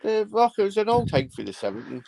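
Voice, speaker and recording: A gruff man hosting a livestream, his voice gravelly and swinging all over the place in pitch. He talks bluntly and swears, recorded close and dry. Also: sing-song, fast, a little mellow, no echo. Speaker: livestream host. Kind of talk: casual banter.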